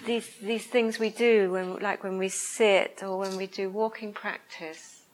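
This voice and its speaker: Soft-voiced woman teaching meditation. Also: mid-range voice, steady tone, low-quality recording. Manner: slow, hesitant, searching for words. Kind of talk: reflective dharma talk and guided meditation. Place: room with a listening group.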